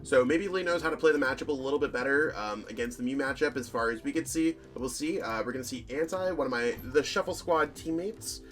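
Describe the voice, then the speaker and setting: Guy with a bit of a hoarse voice rambling through deck lists, medium pitch, lots of ums, soft music under him. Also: fast talker, few pauses. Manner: chatty, rambling, lots of fillers and self-corrections. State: slightly hoarse. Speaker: channel host reviewing card game deck lists. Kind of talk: casual commentary over deck lists.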